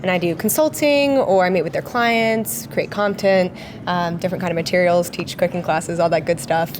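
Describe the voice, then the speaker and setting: A woman's voice: fairly low pitch, normal speed, background noise. A woman in her twenties from Philadelphia, a dietitian guest on a podcast, chatty and friendly with many fillers. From Philadelphia, United States. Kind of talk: casual interview conversation. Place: busy conference floor.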